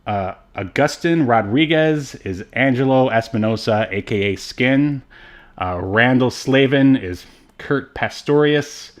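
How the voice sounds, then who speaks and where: A man's voice: mid-range voice, unhurried. A man co-hosting a casual movie review podcast, rambling and hesitant with frequent asides. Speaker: podcast co-host.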